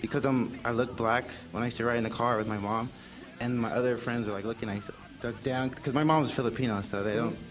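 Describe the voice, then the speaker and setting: A man guest recalling childhood memories in a relaxed, casual way. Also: mid-range voice, fast talker, music in the background. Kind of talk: casual interview talk.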